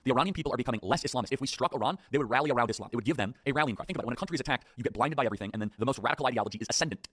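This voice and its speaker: A man rattles off a political talk-show monologue at breakneck speed, pressing his points with emphatic rhetorical questions. His pitch is ordinary, and the sound is close and dry, with no room around it. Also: very fast, few pauses, a bit bright, dry recording.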